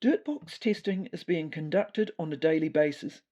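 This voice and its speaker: A woman voices an earnest male cat official in a comic audio story. The voice is mellow and soft-edged with a New Zealand accent, formal and slightly tentative in delivery. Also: low-pitched, animated, moderate pace.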